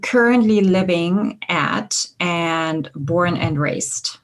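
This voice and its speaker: A woman's voice: lowish voice, very slow. A woman hosting a podcast, asking questions in a friendly, encouraging, casual way. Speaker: podcast host, interviewer. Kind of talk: casual interview chat.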